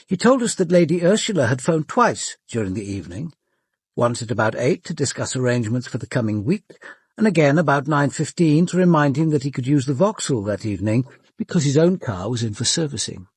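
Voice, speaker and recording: An actor playing a composed senior British police detective who narrates and questions in a measured, formal radio drama style. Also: male voice, mid-range voice, big pitch swings, darkish tone, husky, dry recording. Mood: measured, observant.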